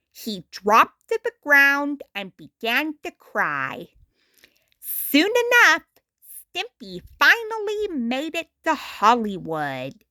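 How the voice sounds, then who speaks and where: A woman reads a story aloud at a very slow, deliberate pace. Her voice is bright and swings widely in pitch with dramatic storybook phrasing. The recording is dry and close. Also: dry recording. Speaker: storytime narrator. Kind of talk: expressive scripted storybook narration.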